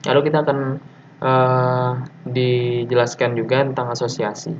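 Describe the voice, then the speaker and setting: A man gives an informal lecture presentation over slides, explaining technical material and often pausing mid-sentence. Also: mid-range voice, flat delivery. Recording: dull lo-fi audio with faint noise.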